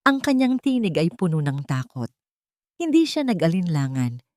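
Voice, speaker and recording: Dramatic woman storyteller, low and dry. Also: big pitch swings, moderate pace, darkish tone, dry recording. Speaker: narrator. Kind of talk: dramatic scripted story narration.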